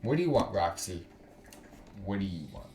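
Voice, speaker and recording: A man with a fairly low voice hosts a let's play. He talks in a blunt, casual, slangy way and switches between reading aloud and commenting. The room has a clear echo, with music faintly playing behind him. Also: very animated, normal speed, darkish tone. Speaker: game streamer, host.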